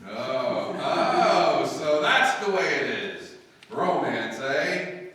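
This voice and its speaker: A man's voice: a little high, very animated, very slow, a bit bright, heavily acted. An actor playing a blustering, scheming, dim-witted working-class father in old-time radio sitcom style, emphatic and mangling his grammar. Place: live stage performance. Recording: echoey live hall, far from mic.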